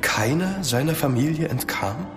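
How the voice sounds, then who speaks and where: Actor as an expressive young nobleman. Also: on the high side, normal speed, soft background music, close to an everyday voice. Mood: anxious, insistent. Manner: earnest, expressive. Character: young, ardent French nobleman in love. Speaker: actor and narrator in an audio drama. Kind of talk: dramatic first-person narration and dialogue.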